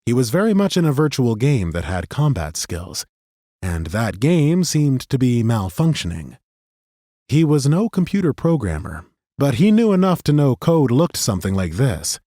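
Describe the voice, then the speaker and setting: A man narrating a fantasy audiobook in an expressive, scripted storytelling style. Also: mid-range voice, moderate pace, no room sound, clean studio sound. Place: dry recording studio.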